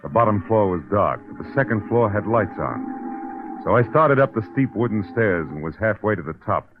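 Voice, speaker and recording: An actor playing a tough, wry private detective in a vintage radio drama, terse and hard-boiled in narration and dialogue. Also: male voice, on the low side, animated, normal speed, lightly acted. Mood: brooding, wry. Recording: thin, phone-like vintage radio recording. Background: dramatic music.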